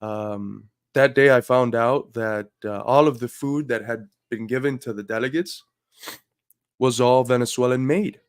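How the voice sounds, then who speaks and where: A man's voice: mid-range voice, a little slow, clean tone, no room sound, clean studio sound. Earnest man livestreaming, under the weather. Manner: earnest, building to emphatic speech. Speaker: livestream host. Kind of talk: casual livestream talk.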